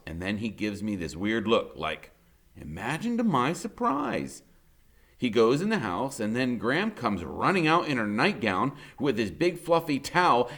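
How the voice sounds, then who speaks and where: A man's voice: on the low side, fairly bright tone. A man narrating a first-person story as an audiobook reader, conversational, wry and dramatic in his delivery.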